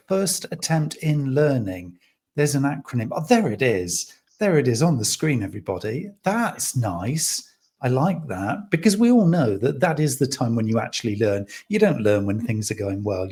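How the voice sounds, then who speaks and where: A man's voice: lowish voice, darkish tone, husky. A man hosting a podcast, enthusiastic and chatty, asking long rambling questions. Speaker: podcast host. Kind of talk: casual interview hosting.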